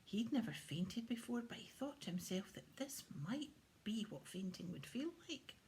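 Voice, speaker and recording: A woman reads a children's story aloud as an audiobook narrator. Her voice sits at a medium pitch and her delivery is expressive, with some faint noise behind it. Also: normal speed. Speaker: audiobook reader. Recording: clear background noise.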